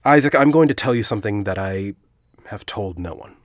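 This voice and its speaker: An actor playing a forty-year-old university headmaster in an audio drama, a secretive academic who speaks in a deliberate, articulate, confiding way. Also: male voice, fairly low pitch, animated, no echo, close to an everyday voice. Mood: confiding, somber. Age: 40s. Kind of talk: audio drama dialogue and storytelling.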